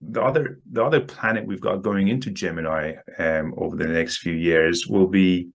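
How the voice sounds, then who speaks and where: Deep-voiced man, halting speech. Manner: halting, repeats words often. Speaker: guest market analyst. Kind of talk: casual interview.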